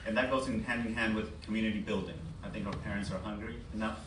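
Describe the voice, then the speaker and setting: Man, low flat delivery, unscripted forum answer, echoing meeting room, faint noise. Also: quick pace. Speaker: school board candidate at a forum. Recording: echoey board room, distant mic, background noise.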